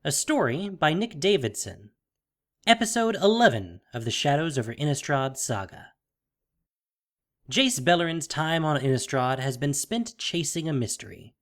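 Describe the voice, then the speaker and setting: A man narrating a scripted fantasy audio drama with measured, theatrical phrasing. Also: fairly high pitch, animated, fairly bright tone. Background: music.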